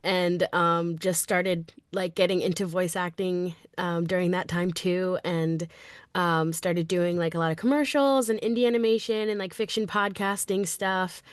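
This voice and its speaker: A woman's voice: on the low side, fairly even intonation, measured pace, clean tone, no echo, studio quality. A woman who does voice acting and narration, chatting casually and upbeat on a panel. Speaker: voice actor and narrator. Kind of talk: panel chat.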